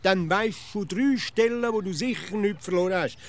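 Pedantic, nagging Swiss provincial, high-voiced. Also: male voice, normal speed. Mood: smugly explaining. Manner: insistent, pedantic, questioning. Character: pedantic, nagging provincial everyday philosopher, male, absurdly logical. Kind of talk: comic radio play dialogue.

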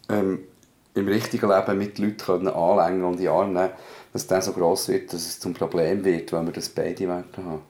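A man's voice: sits low, dark tone. A man with a Swiss German accent co-hosting a casual podcast, thinking aloud in a hesitant way. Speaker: podcast co-host and parent.

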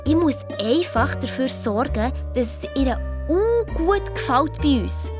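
High-voiced eager Swiss girl detective. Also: measured pace, music in the background. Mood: excited. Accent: Swiss German. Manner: animated storytelling, quoting others in dialogue. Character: Fabi, eager excitable girl detective telling her adventure. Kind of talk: children's detective radio play narration.

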